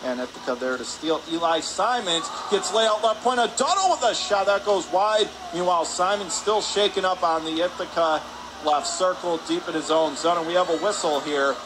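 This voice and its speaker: A high-voiced man does live radio play-by-play of a hockey game. His delivery is excitable and climbs whenever there is a shot or save. Clear arena noise runs behind him. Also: normal speed. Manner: excitable, voice rising on shots and saves. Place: indoor ice hockey arena. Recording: noisy rink ambience behind the voice.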